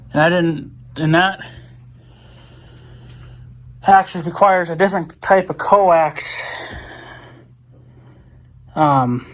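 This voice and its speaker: Man, slightly high voice, casual DIY vlog chat, rambling, thinking aloud, mildly profane. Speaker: vlogger.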